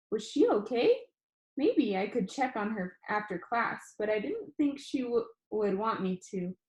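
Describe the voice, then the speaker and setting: Female teacher, mid-pitched, storytelling read-aloud for a video class, informal and expressive, audible room echo. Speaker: teacher reading a novel aloud to an online class. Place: indoor room, online class call.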